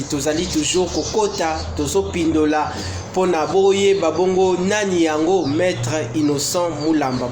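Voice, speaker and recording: A man hosting an interview, lively questions switching between two languages. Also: on the high side. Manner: lively, asks in mixed Lingala and French. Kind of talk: casual interview. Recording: echoey room, clear noise.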